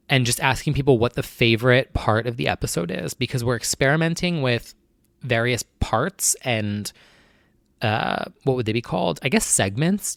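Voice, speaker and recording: A man's voice: mid-range voice, vocal fry, fairly bright tone, no echo, clean studio sound. A young man hosting his own podcast, talking in a casual, anxious, rambling way full of fillers and restarts. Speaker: podcast host. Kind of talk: casual solo chat.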